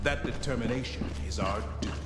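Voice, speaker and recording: An actor playing a solemn, zealous military officer from a sci-fi game, making grandiose, stately pronouncements. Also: male voice, medium pitch, fast talker, very noisy, natural voice. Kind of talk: scripted dramatic game voicelines, oratory. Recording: long hall reverb, music behind. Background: music.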